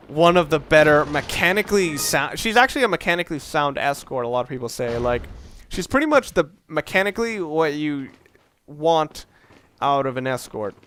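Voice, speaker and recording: A man's voice: fairly high pitch, a bit bright, a little hiss or hum. A young man giving casual, rambling gameplay commentary, swearing often and poking fun at himself. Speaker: let's play commentator.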